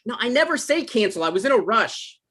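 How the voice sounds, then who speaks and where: A man acting an evasive, awkward novelist in a comedy-drama table read, halting and defensive, with stammers. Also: very high-pitched, big pitch swings, pushed and bright, full character voice. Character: Michael, evasive, awkward novelist visiting his ex.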